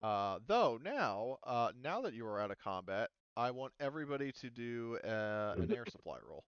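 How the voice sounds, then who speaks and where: Man game master, smooth dry voice. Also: medium pitch, sing-song, no room sound, muffled recording. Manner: halting, thinking aloud while working out rules. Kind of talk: casual tabletop game refereeing over online session.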